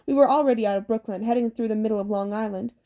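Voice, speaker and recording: Female audiobook narrator, fairly high voice, relaxed dark-soft timbre, scripted storytelling. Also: rapid-fire, muffled recording.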